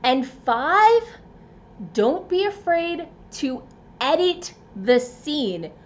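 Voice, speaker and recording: A woman with a high, very animated voice presents scripted tips at an unhurried pace. Her delivery is expressive and emphatic, with playful asides. The recording is slightly dull and lo-fi. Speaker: writer and presenter giving tips. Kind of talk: scripted advice narration.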